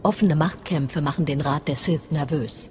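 A woman playing a scheming, cold and deliberate Sith master in a video game. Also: low-pitched, darkish tone, a little hiss or hum, close to an everyday voice. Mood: calculating. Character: scheming, bitter female Sith master plotting against a rival. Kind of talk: scripted video game acting in German. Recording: long reverb, music under voice.

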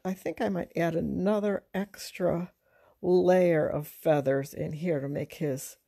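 A woman art teacher with a fairly low, soft-edged mellow voice talks through a drawing demonstration. She sounds informal and unhurried, pausing to think aloud, with some creak in her speech. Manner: halting, unhurried, conversational. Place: indoors at a drawing table.

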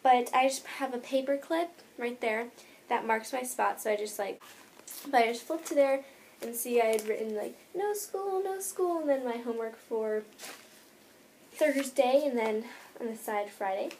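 Teenage girl, American accent, fairly high voice, casual rambling vlog chat, slightly noisy bedroom recording. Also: moderate pace, a little mellow. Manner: chatty, rambling, filler-heavy with frequent "like". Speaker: vlogger. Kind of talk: casual chat to camera, showing bag contents. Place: bedroom, indoors.

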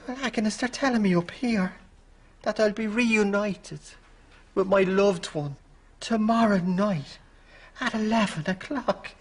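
Slow, theatrical séance voice. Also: female voice, medium pitch. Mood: familiar, wandering. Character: spirit medium channelling a woman's dead, drunken husband. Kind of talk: theatrical séance acting in a sitcom. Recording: clearly noisy live studio sound.

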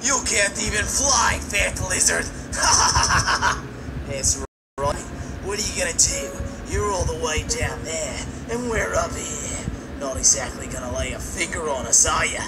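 Brief: male actor as arrogant dragon, sneering taunts, animated delivery, loud music underneath. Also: a little high, measured pace, poor audio. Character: mocking, vain head of three-headed golden dragon. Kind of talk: comedic cartoon comic dub.

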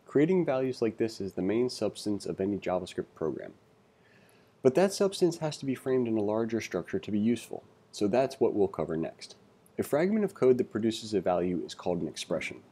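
A man giving a read-along coding tutorial, reading aloud and thinking out loud with informal asides. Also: lowish voice, sing-song, a little fast, slightly rough. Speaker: instructor.

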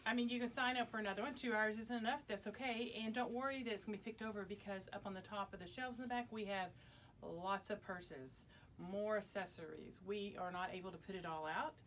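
A woman's voice: medium pitch, fairly even intonation, brisk, fairly bright tone. A middle-aged woman, a shop owner making a casual announcement to customers, recorded indoors a few steps from the camera. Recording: background noise, camera from a distance.

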